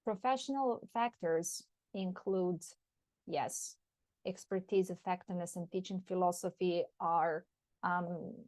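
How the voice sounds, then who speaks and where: A woman from Serbia, an English teacher and researcher, delivering a prepared talk in a measured, list-like way with frequent ums. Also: on the low side, steady tone, darkish tone, no echo.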